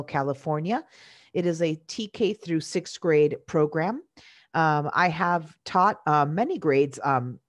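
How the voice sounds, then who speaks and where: Woman in her forties, first language Italian, from Venezuela. Teacher presenting at a conference. Deep for a woman, earnest, measured, dry close sound. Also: dry recording. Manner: earnest and measured, pausing often to find words.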